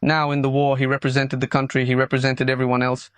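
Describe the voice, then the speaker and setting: Guy in an interview clip, dead flat monotone, clean smooth voice. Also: mid-range voice, fast, dry recording. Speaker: speaker in a played clip.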